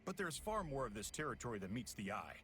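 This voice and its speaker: Composed villain leader, mid-pitched man. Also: big pitch swings, quick pace, bright, forceful tone, slight background noise. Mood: cool, calculating. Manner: composed, smug, precise, condescending. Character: cool, scheming male villain leader explaining his plan. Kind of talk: video game voice acting.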